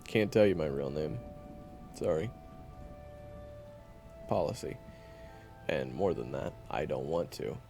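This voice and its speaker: A man playing a sardonic, guarded monster hunter in a fantasy audio roleplay, with a gravelly voice and a dry, teasing, bluntly dismissive manner. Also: rumbling low, lively intonation, slow, pauses often, warm tone, soft background music, full character voice. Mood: guarded, irritated. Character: sardonic, guarded young male monster hunter, begrudgingly chatty. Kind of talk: fantasy audio roleplay acting, addressed to the listener.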